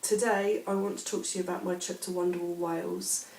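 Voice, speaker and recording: A woman's voice: fairly low pitch, level tone, brisk, a little mellow, distant mic, a little hiss or hum. A woman hosting a casual craft podcast while she has a bad cold, sounding sniffly and hesitant, with plenty of ums and restarts. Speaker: podcast host. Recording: roomy echo, speaking away from the mic.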